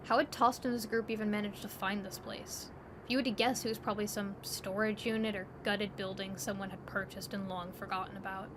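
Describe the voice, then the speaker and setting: A woman's voice: mid-range voice, fairly even intonation, brisk, noisy background. A woman narrating a scripted second-person story as the narrator.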